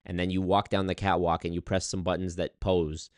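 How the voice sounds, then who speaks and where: A man telling a story on his livestream in an animated, exasperated way, swearing often and reading chat. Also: low voice, fairly quick, smooth, dry recording, studio quality. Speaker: streamer. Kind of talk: casual livestream rant.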